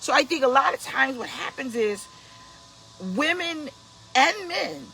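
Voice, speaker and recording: A woman's voice: sits high, lively intonation, unhurried, vocal fry, sharp tone, a little hiss or hum, rough recording. A woman in her fifties hosting a casual motivational talk, emphatic and preachy, repeating her key points. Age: 50s. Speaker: host, pastor.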